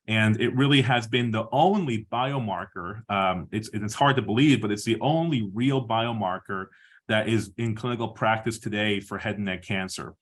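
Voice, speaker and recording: A middle-aged man from Peru giving a measured webinar lecture as a surgeon, explaining slides with frequent restarts and fillers. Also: medium pitch.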